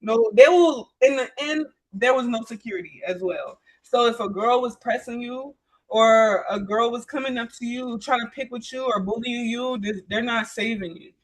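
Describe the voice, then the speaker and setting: Young female interview guest, high voice, frank and emphatic, informal slangy storyteller. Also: normal speed, low-quality recording. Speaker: interview guest, trade program graduate. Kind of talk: candid casual storytelling.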